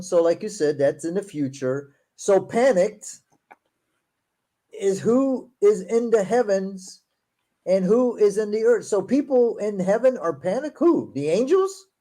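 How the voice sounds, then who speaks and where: A man whose first language is Portuguese hosts a casual discussion. He reads passages aloud slowly and then adds quick, sarcastic remarks. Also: high-pitched, expressive, dark tone. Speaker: show host.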